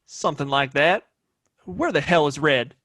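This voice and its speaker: A man's voice: on the high side, lively intonation, normal speed, a bit bright, dry recording, studio quality. A man acting a swaggering, menacing American small-town sheriff in a Western audio play, with a smug, domineering, folksy way of talking. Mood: smug flirting.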